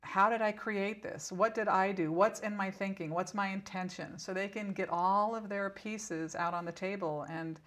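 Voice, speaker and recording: A woman's voice: mid-range voice, fairly even intonation, normal speed, hardly any pauses, a bit bright. Instructive woman explaining in an interview. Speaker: interview guest, spiritual teacher and author.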